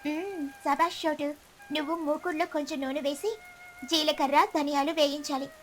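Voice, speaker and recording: A woman's voice: high-pitched, steady tone, moderate pace, lightly acted. A woman voicing a practical, kindly mother sparrow who cooks and instructs in a cartoon story. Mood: instructive. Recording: music behind the voice.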